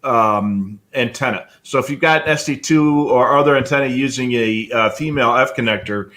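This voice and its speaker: A man with a middle-of-the-road pitch talks casually as a co-host on a hobby show. He explains technical details in a practical, plainspoken way. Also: normal speed, a bit bright.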